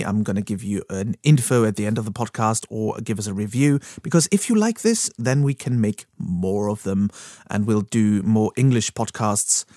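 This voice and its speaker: A man's voice: fairly low pitch, a little mellow, no echo, studio quality. A man whose first language is German, hosting a podcast and introducing an episode in a chatty, self-deprecating, rambling way. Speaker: podcast host.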